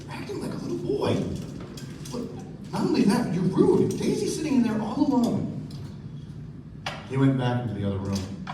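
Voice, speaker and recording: A man's voice: sits high, huge pitch swings, normal speed, pauses often. Distant echoing hall, noisy recording, polite wry young male narrator, radio drama. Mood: exasperated. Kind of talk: scripted narration in a live radio play.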